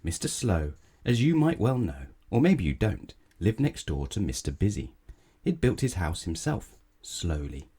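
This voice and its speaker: A man reading a children's story aloud in an expressive, measured storytelling manner, with chatty asides to the listener. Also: low voice, slightly rough. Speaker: storybook reader. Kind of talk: children's read-aloud narration.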